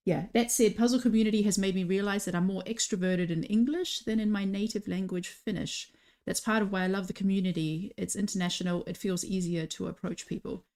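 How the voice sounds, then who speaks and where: A woman's voice: mid-range voice, a little mellow. A woman co-hosting commentary on a live online competition stream, chatty and reading out viewer comments. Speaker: commentator co-host.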